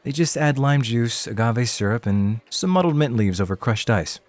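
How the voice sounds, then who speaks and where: A man's voice: mid-range voice, lively intonation, moderate pace, no room sound, natural voice. A man acting in an ASMR roleplay as a laid-back rookie club host, casual, hesitant and a little awkward. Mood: eager, casual.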